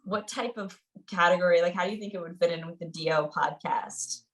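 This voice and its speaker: A woman's voice: on the low side, a little fast, a bit bright, far from the mic, low-quality recording. A woman hosting a podcast in casual literary discussion, speaking in an informal, effusive way. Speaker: podcast host interviewing a guest.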